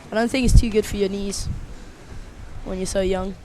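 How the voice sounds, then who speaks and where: Man from spain, co-commentator, high voice, flat delivery, dry humor, faint noise behind. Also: normal speed, low-quality recording. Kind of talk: live sports commentary.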